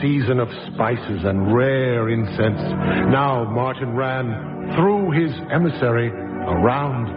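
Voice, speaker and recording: A man giving slow, poetic, scripted narration for a radio drama. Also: mid-range voice. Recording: tinny phone-like audio under loud music.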